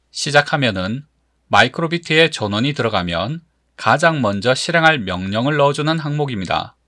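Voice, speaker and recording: A man giving scripted tutorial narration as an instructor, explaining step by step in a measured manner. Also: medium pitch, a bit bright, no echo, clean studio sound.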